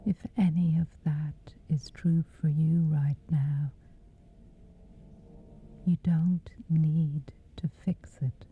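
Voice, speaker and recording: A woman guides a sleep meditation in a soft, low whisper, lingering unhurriedly over every phrase. Her voice comes through thin and narrow, with gentle music playing faintly underneath. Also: little pitch movement, a little hiss or hum. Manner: slow gentle soothing delivery.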